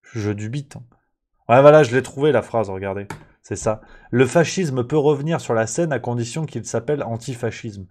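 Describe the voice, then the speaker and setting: A male talk-show host chatting off the cuff, wandering from point to point and stopping to fix his own words. He builds small rants into loud mock fury, swearing as he goes. His voice sits at an ordinary middle pitch for a man. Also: normal speed. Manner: ranting, swearing, mock outrage; rambling, self-correcting. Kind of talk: casual livestream chat.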